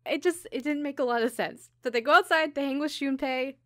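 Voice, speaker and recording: A woman's voice: high voice, a little fast, pushed and bright, no room sound, clean studio sound. A woman podcast co-host telling a story in casual chat, informally, with lots of "like" and quoted asides. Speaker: podcast co-host recapping an anime episode. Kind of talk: casual chat, episode recap.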